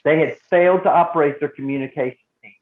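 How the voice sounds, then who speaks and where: Male trainer, slightly high-pitched, emphatic and deliberate, teaching a group; thin phone-like sound. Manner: emphatic, deliberate, with repetition for stress. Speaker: emergency radio volunteer trainer. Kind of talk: instructional talk to a group. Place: indoor room, live training session. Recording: roomy echo, phone-like narrow band.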